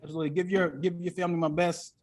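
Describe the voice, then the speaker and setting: A man's voice: sits high, flat delivery, very fast. A man chatting casually as a comedian guest on a podcast, playful and joking, using plenty of slang.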